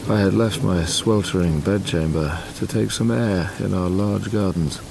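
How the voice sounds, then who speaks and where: An actor playing a dying, tormented man who gravely narrates his past in a formal audio drama. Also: low-pitched, moderate pace, background noise. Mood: haunted.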